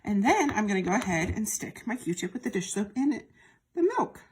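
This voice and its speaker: A woman's voice: medium pitch, big pitch swings, a little fast, darkish tone. A woman presenting a children's lesson in a sing-song way, speaking directly to young viewers and prompting them as she goes. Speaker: children's storytime presenter at a library. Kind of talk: children's lesson with repetition and demonstration.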